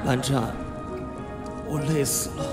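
A male voice actor playing a playful, chatty, feverish young swordsman in a live audio drama, whiny and coaxing with drawn-out words. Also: mid-range voice, flat delivery, natural voice. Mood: weary, feverish. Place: large theatre stage, indoors. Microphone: handheld microphone. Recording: echoey large hall, music behind.

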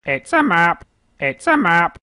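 An actor voicing an excitable talking parrot in a children's cartoon story, squawky and given to exclaiming. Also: medium pitch, takes it slowly, full character voice. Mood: excited. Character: excitable talking parrot reading map directions.

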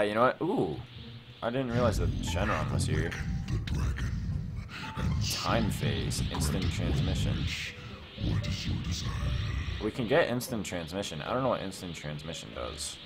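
A man's voice: low voice, lively intonation, measured pace, pauses often. A young man giving casual gameplay commentary, rambling and thinking aloud as he hosts a gaming video. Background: music.

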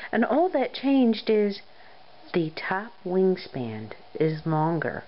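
A woman hosting a crochet how-to, her voice still a bit scratchy and hoarse after a cold, explaining things in a friendly, step-by-step way. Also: on the low side, dull audio. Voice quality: slightly scratchy, recovering voice. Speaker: crochet tutorial host. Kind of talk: casual how-to narration.

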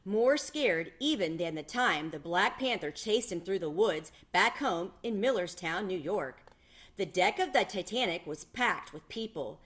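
A middle-aged woman reading a children's novel aloud with expressive, dramatic delivery. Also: mid-range voice. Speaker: read-aloud storyteller. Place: small home room with bookshelves.

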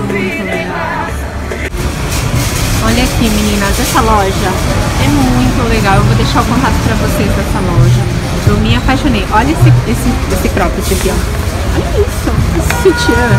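A woman's voice: mid-range voice, low-quality recording. A young Brazilian woman vlogging a shopping trip, gushing over clothes and calling out prices as she films. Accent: Brazilian Portuguese. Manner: gushing, points out items and prices. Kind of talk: shopping walk-through vlog. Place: busy outdoor street market. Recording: loud crowd and pop music behind.

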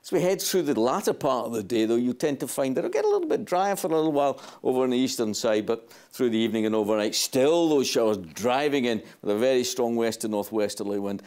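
Chatty middle-pitched man forecasting weather. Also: sing-song, quick pace, few pauses, darkish tone. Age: 50s. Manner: chatty, conversational. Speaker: weather presenter. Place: TV studio. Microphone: lapel mic.

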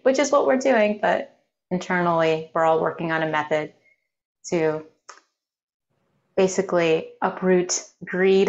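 A woman with a lowish voice full of vocal fry explains things casually in an interview. She is heard over a video call. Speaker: interviewed volunteer, meditation practitioner. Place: indoor room on a video call. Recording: muffled video call audio.

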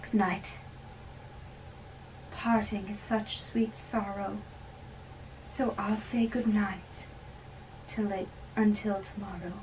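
Slow-reading young woman, recorded from a distance. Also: medium pitch, flat delivery, darkish tone. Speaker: amateur reader of a play. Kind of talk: dramatic reading of a play. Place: small bedroom, indoors, seated on a bed. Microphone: camera a few metres away. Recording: distant camera mic with faint room noise.

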